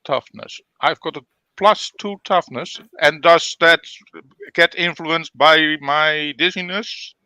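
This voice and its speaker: A man talking casually as a player in a tabletop roleplaying game, halting as he thinks aloud. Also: on the high side, bright, forceful tone, no room sound, low-quality recording. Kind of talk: casual chat during game play.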